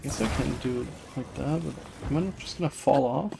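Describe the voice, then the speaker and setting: A man live streaming a video game, chatting casually with viewers in a hesitant, halting way that often repeats words. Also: medium pitch, gravelly. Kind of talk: casual chat while gaming, reading and answering viewer comments. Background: video game music.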